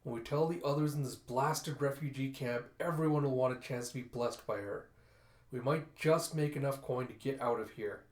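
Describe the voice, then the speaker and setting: Drunkard father fox, played by a man: calculating, dismissive, average male pitch, storybook telling. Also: moderate pace, darkish tone, roomy sound, natural voice. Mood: scheming.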